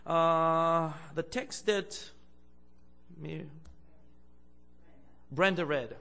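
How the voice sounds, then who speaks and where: Gravelly-voiced man from Bulgaria preaching. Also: a little high, extremely slow. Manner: hesitant, many fillers, louder when preaching and reading scripture. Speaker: guest preacher. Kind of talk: sermon. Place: church.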